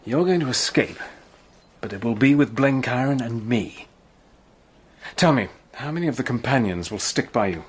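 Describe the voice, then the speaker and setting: A man playing a brisk, commanding British officer in a radio drama, clipped and decisive. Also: medium pitch, lively intonation, a little hiss or hum, close to an everyday voice.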